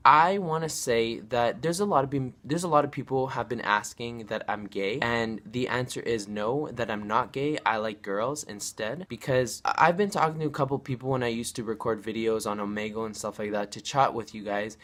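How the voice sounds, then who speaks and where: A man's voice: medium pitch, moderate pace, few pauses, fairly bright tone. Young man, nineteen, casual vlog, earnest, rambling, repeats himself. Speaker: vlogger. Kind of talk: casual direct-to-camera vlog.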